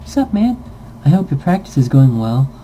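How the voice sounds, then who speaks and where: Man acting a young gamer dude, raised pitch, slangy, chummy, visual novel reading, faint noise. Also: big pitch swings, moderate pace, mellow, rough recording. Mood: chummy, upbeat. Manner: slangy, bro-like. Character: laid-back young male gamer fan, slangy and chummy.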